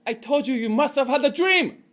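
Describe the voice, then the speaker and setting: A man voicing a fishmonger's wife in a one-performer comic tale, scolding her drunken husband in a sharp, exasperated manner. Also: very high voice, lively intonation, a little fast.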